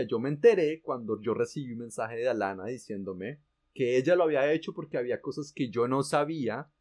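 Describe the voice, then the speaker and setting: A man with a Colombian accent recounts his story in a casual, animated way. He drops slang and mild swears freely. His pitch sits in the middle range for a man. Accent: Colombian Spanish. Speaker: guest telling his story.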